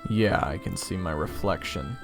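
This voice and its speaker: A man's voice: low voice, moderate pace, over music, close to an everyday voice. A man playing a weary, sarcastic young soldier who tells his story in a casual, exasperated way in an audio drama. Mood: downcast. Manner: wry, exasperated, casual first-person storytelling. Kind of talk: audio roleplay narration and dialogue.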